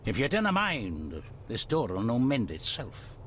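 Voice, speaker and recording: An actor playing a wary, blunt Scottish manservant in a video game drama, speaking cryptically in broad dialect. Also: male voice, mid-range voice, huge pitch swings, unhurried, fairly bright tone, a little hiss or hum. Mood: warning, gruff.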